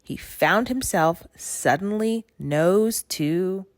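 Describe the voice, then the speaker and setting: A woman giving an expressive read-aloud narration of a rhyming children's story. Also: lowish voice, slow pace, no echo, clean studio sound. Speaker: storybook reader.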